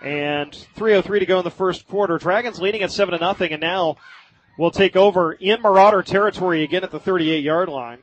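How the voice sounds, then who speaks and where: An American man doing live radio play-by-play of high school football in vivid, colloquial language. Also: a little fast, dull audio, slight background noise.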